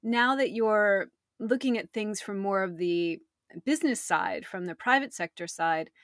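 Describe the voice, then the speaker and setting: Brief: woman interviewer, mid pitch, dry close sound, friendly questions. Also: unhurried, a bit bright, no room sound. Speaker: podcast host. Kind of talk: conversational interviewing.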